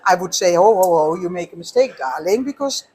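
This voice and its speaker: A woman's voice: fairly low pitch, expressive, brisk, a little mellow, a little hiss or hum. An older woman with a Dutch accent, co-hosting a casual chat and telling reflective stories in slightly broken English. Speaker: show co-host.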